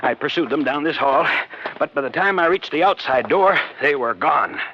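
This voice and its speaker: A flustered, excitable scientist in an old radio drama, with a fairly high male voice. He speaks haltingly and dramatically, repeating himself, on a muffled recording with faint hiss. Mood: urgent, agitated. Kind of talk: 1950s radio drama acting.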